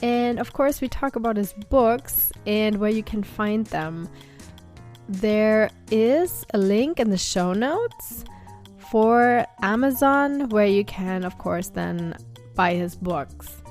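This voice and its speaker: Lady hosting a podcast, talks slow and easy, lots of ums. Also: medium pitch, soft background music. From Germany. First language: German. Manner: relaxed and chatty, with frequent fillers. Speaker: podcast host. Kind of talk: casual podcast interview. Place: home in Texas, on a call.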